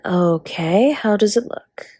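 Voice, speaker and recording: A woman plays a doting dragon spouse who bakes and flirts in an intimate audio roleplay. The voice is husky and unhurried, teasing and drawn-out, often sinking into a whisper. It is recorded close and dry. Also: mid-range voice, animated, dark tone, dry recording. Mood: flirty, tender. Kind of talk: intimate romantic audio roleplay addressed to listener.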